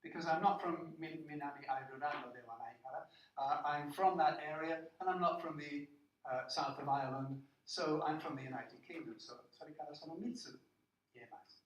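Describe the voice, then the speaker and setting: A man's voice: a little high, a little fast, muffled recording, slight background noise. English man lecturing in an echoey room. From England. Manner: plain explanatory, frequent "okay?" checks and "uh" fillers. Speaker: university lecturer. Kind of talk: classroom lecture mixing English and Japanese. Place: classroom. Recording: echoey classroom, distant microphone.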